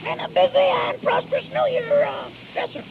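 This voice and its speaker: Nervous piglet: tiny, squeaky, creaky, courteous and cautious, for vintage radio comedy with phone-thin sound. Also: brisk. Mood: polite, wary. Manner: nervous, polite. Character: timid, wary little cartoon pig. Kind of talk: 1930s radio comedy acting. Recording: crackly, tinny 1930s radio recording.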